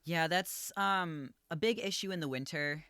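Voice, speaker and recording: A man who manages a coffee shop, talking casually and calmly, with a voice that projects and lots of "like" and "um.". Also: fairly high pitch, a little slow, clear, resonant tone, dry recording, clean studio sound. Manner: calm, easygoing, filler-heavy with lots of "like" and "um". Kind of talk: casual podcast chat.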